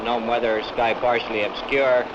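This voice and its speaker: A man with a mid-pitched voice works an aviation radio. He gives clipped, procedural readouts one phrase at a time. The old transmission is muffled and buried in very loud noise. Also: level tone, moderate pace. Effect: two-way radio. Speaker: airport radio operator. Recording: very noisy, dull vintage radio transmission.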